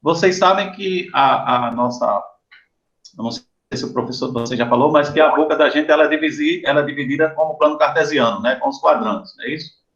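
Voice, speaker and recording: Brazilian professor, high-ish voice, muffled call audio. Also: male voice, fairly bright tone. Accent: Brazilian Portuguese. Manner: unhurried, often checks in with "né?" and "tá?". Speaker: university professor. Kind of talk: informal explanatory lecture to students.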